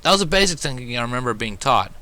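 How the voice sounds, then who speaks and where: A man's voice: mid-range voice, big pitch swings, quick pace, bright, forceful tone. A man narrating a first-person fan fiction story, reading expressively as an amateur.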